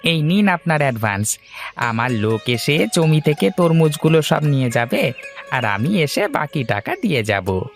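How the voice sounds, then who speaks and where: A man's voice: fairly high pitch, big pitch swings, moderate pace, soft background music. A man voicing a businesslike, dismissive produce trader in a cartoon story, with a matter-of-fact manner. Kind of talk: Bengali cartoon story voice acting.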